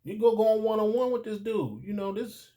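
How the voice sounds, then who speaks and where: A man's voice: high-pitched, brisk, warm tone. A middle-aged American man telling personal stories casually, in a rambling, digressive way with street slang. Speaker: storyteller recalling his youth.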